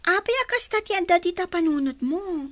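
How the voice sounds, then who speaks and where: Woman playing a very high-voiced reproachful daughter. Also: expressive, brisk, a bit bright, dry recording. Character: young daughter defending herself to her mother. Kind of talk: dramatized audio drama dialogue.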